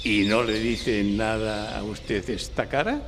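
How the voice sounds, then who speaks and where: A man's voice: fairly low pitch, slow. A man playing a celebrated lawyer who is theatrical, pompous and playful, in a courtroom audio drama performed live. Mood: smug, playful. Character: Perry Mason, celebrated male lawyer, theatrical, playful, dog-like. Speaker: actor, narrator of own case. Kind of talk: theatrical courtroom radio drama before an audience. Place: public library hall, live performance. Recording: reverberant library hall, faint background noise.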